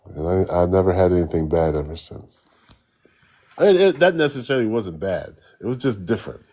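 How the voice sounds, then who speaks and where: Real deep voiced guy rambling on a call, stammers a lot, laughs a bunch. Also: sing-song, brisk, warm tone. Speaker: host. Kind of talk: casual spiritual chat.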